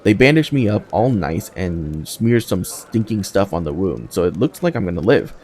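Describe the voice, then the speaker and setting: A man's voice: deep voice, big pitch swings, normal speed, a little mellow. A man voicing a plucky, plain-spoken young woman soldier from a fantasy game, with a breezy, wry manner. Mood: wry, upbeat.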